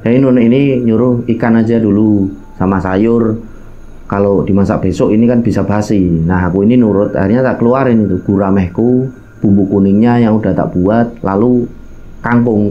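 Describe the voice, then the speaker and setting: A man's voice: fairly low pitch, normal speed, muffled recording. A man with a Javanese accent, telling a personal hiking tale as a casual, colloquial storyteller who speaks directly to his listeners. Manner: colloquial, addresses listeners, acts out quoted dialogue, mixes in local phrases. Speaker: storyteller recounting his own mountain hike.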